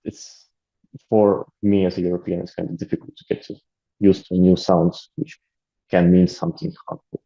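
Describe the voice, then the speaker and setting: A man's voice: low voice, flat delivery, normal speed, creaky, mellow, highs cut off. A man with a Latvian accent and Russian as his first language, talking as an interview guest, hesitant with many fillers and restarts. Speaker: interview guest, aid volunteer. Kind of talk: casual interview talk.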